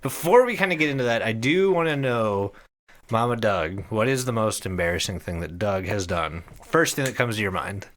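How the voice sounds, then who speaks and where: A man's voice: on the low side, lively intonation, normal speed, a bit bright. A man co-hosting a podcast in casual, chatty banter with a teasing manner. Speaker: podcast co-host.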